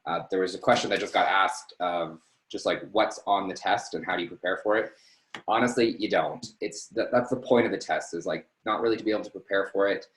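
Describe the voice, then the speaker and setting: A man's voice: low voice, a little fast, a bit bright. A man talking casually as a panelist on a webinar. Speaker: air traffic controller, panelist. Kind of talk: casual unscripted panel answers. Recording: roomy echo on webinar call.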